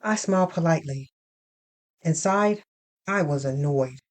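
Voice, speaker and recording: A woman with a low voice narrates an audio drama in the first person. She takes her time, setting out the story in short, deliberate phrases with pauses between them.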